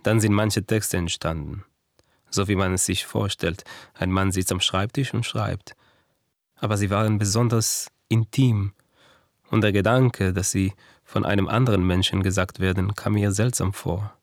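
A man's voice: lowish voice, fairly even intonation, normal speed, dry recording, natural voice. A man playing a frightened, self-doubting cancer survivor, speaking an earnest, introspective confessional monologue in a radio play. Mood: reflective. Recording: dry studio with faint music.

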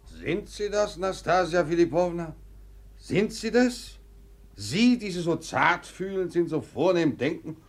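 An actor playing an agitated, meddling gentleman with excitable, exclamatory delivery in a radio play. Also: sits high, unhurried, heavily acted. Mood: dismayed. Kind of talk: German radio play acting.

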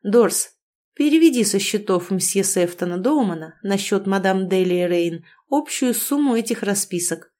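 A sly, courteous estate manager in an audiobook, voiced by a woman reader. He speaks smoothly and politely, with a quiet hint of slyness. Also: medium pitch, animated, measured pace, close to an everyday voice.

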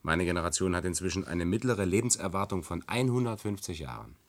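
A man's voice: deep voice, lively intonation, fast. An actor playing a sceptical younger trainee in a radio play, questioning and challenging in his manner. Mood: incredulous. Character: sceptical, probing younger male trainee worker.

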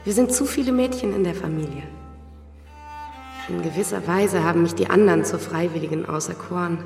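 Radio drama: a gentle, restrained nun and older sister, some vocal fry, long reverb, faint music. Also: female voice, fairly low pitch, fairly quick. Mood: resigned, wistful. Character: pious, resigned young nun, caring older sister. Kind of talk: naturalistic radio play acting. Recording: echoey stone-hall reverb, music underneath.

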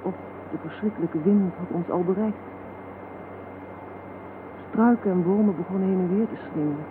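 A woman acting a sombre survivor narrating in a radio drama, her voice on the low side and measured. The delivery is grave and literary, on a thin, noisy old recording. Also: phone-quality sound, lightly acted. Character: grave female survivor recounting a bombing disaster.

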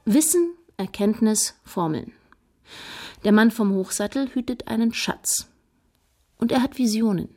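A woman's voice: on the high side, measured pace, pauses often, darkish tone. An actress playing an observant female historian, narrating in a precise, dryly descriptive manner for a radio drama.

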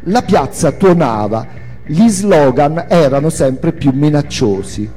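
A man's voice: a little high, lively intonation, slow, mellow. A man reading an autobiographical memoir as a theatrical narration. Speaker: author-performer reading a memoir. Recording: long hall reverb, music behind. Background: music.

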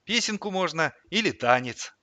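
A man voicing a kindly, indulgent old winter gift-giver who gently scolds like a grandfather in an audiobook story. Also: a little high, lively intonation, moderate pace, no echo, voice pushed up. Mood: sweetly pleased. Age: older. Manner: sweetly indulgent, gently chiding.

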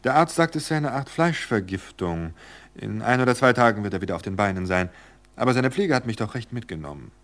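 A cheerful, flighty society woman, which a male reader puts on with a breezy, airy lilt, pitched well above his low natural register. She sounds carefree and quick to brush off worry in this audiobook reading. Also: animated, a bit bright. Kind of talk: audiobook character voice.

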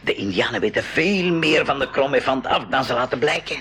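An actor playing a polite, earnest expedition leader in a school radio drama, courteous and deliberate. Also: male voice, medium pitch, animated, bright, forceful tone, slight background noise. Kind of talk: 1980s Dutch-language school radio drama acting.

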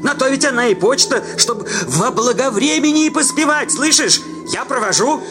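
An actor playing a jealous, grumbling village sexton who nags in folksy, church-tinged speech in a radio play. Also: male voice, extremely high pitch, animated, normal speed. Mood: nagging, impatient. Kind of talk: Russian radio play acting. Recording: loud music behind the voice.

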